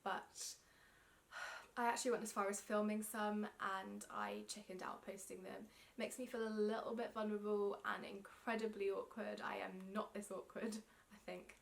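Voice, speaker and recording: A woman's voice: medium pitch, level tone, normal speed, gravelly. Young london woman vlogging about knitting, chatty and a bit awkward, lots of ums, indoors to camera. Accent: London English. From England. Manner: chatty, self-conscious, frequent ums. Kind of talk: casual self-interview to camera. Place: indoor room with yarn shelves.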